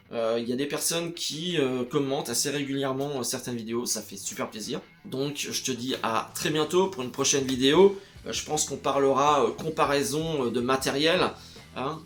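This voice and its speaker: A man talking casually to camera about his home cinema purchases, hesitating often and speaking straight to the viewer. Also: medium pitch. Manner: hesitant, full of "euh" fillers, addresses viewer as "tu". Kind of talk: casual unscripted chat to camera.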